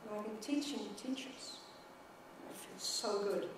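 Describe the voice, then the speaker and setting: A woman's voice: medium pitch, measured pace, background noise. A middle-aged woman from Sweden with a Swedish accent, giving a conference keynote in English and stuttering with repeated words and syllables. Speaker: keynote speaker. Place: large conference hall. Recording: echoey hall, mic from far off.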